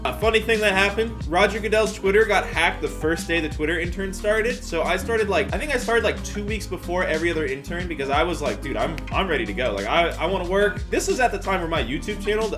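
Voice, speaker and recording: An American man in his twenties telling a story in a casual vlog, with slangy, self-deprecating phrasing. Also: a little high. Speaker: YouTuber and storyteller. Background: background music.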